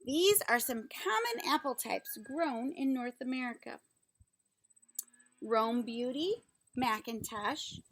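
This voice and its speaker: High-pitched woman reading slowly to children. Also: poor audio. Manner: simple, instructional, pausing to explain and ask questions. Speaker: teacher reading a picture book aloud to young children. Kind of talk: children's read-aloud with explanatory asides.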